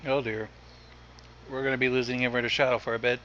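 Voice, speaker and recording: Bright-voiced man on a muffled, noisy mic. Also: mid-range voice, flat delivery, fast. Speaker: livestream co-host. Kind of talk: casual commentary.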